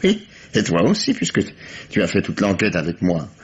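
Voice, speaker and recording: A shrewd police commissioner speaks with measured, ironic authority. His voice is deep and husky. It sits on a dull, lo-fi 1950s radio drama recording. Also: huge pitch swings, full character voice. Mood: calm.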